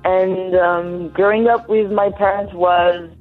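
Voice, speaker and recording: A young woman from France answering interview questions in English, soft-spoken and halting, with pauses. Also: fairly low pitch, fairly even intonation, faint music behind. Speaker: guest, athlete. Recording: tinny phone-like line.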